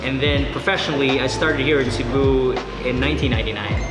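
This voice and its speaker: A man's voice: mid-range voice, fast talker. A Filipino man in his thirties with a Filipino accent, a guest singer-songwriter talking modestly and hesitantly in a casual interview. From Philippines. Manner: modest, hesitant, many fillers. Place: deli room. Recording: echoey room with loud music. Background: loud music.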